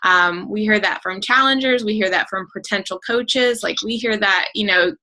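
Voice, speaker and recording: Bright, upbeat woman hosting a team call. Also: mid-range voice, normal speed. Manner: upbeat, encouraging, filler-heavy. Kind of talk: casual online team call.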